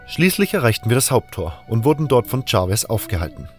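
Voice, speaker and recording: Mid-pitched male storyteller over music. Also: big pitch swings, brisk. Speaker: narrator. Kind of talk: scripted first-person audio drama narration.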